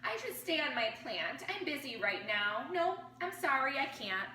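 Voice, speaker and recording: A woman's voice: sits high, sing-song, moderate pace, pushed and bright, exaggerated voice. A woman voicing a timid, anxious male koala in a storybook, hesitant and apologetic as he protests that he will not budge. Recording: roomy, distant mic with faint noise.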